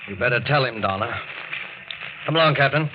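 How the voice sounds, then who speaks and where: A man's voice: medium pitch, fairly quick, dull audio, close to an everyday voice. Male actor as composed doctor, 1940s radio drama, mid-range voice, reassuring, noisy vintage recording. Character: steady, reassuring male doctor among shipwreck survivors.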